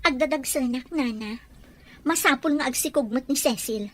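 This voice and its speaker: A woman's voice: sits high, normal speed, fairly bright tone. A woman playing a pushy, plotting young schemer in an audio drama, talking insistently.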